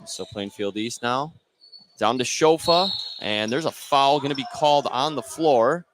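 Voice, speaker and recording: A male play-by-play announcer calls a basketball game live for radio. He speaks in short, clipped running phrases at an ordinary male pitch, with clear noise from the court behind him. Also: unhurried.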